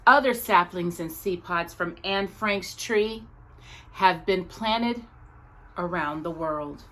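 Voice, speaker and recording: Woman storyteller with a slightly low voice. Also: takes it slowly, a bit bright. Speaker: storytime host reading aloud. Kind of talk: read-aloud storytelling and earnest talk to young viewers.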